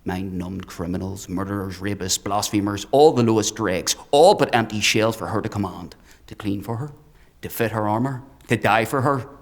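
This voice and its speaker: An actor playing a sadistic, fanatical villain in a dark fantasy audio drama, with taunting, declamatory delivery and a gravelly voice. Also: male voice, lowish voice, normal speed, close to an everyday voice. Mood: menacing, taunting. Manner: taunting, declamatory, swings from hushed to screaming. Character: sadistic zealous male villain, menacing, theatrical, fanatical.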